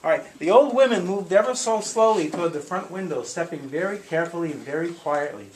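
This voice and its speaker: A man telling a story theatrically and deliberately to a group, with playful asides. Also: a little high, expressive. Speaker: live storyteller. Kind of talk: dramatic spoken storytelling to a live group. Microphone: small consumer video camera in the room. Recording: echoey noisy room, consumer camcorder audio.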